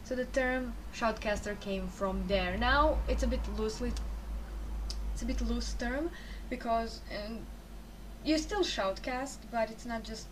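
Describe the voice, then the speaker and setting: A woman's voice: on the high side, measured pace, distant mic, noisy background. A young woman from Croatia with a Croatian accent, speaking English as a second language, chatting loosely in an interview about her work as a game caster, often restarting her sentences. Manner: rambling, self-correcting, chatty. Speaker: esports caster, interview guest. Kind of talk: casual answers to interview questions.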